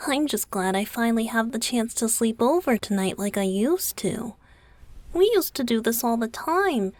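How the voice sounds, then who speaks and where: A woman playing a playful, affectionate young male idol boyfriend in an intimate ASMR roleplay, soft and often close to a whisper. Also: a little high, dry recording. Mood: cheerful, fond. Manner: teasing, coaxing, giggly, slow with pauses. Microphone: close studio microphone, dry.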